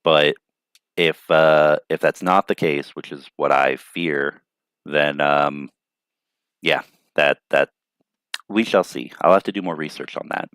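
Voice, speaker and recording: A man's voice: a bass voice, slow, long pauses, fairly bright tone, clean tone, no room sound. A man hosting a news commentary show, reading reports and adding thoughtful, hesitant asides. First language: not Ukrainian.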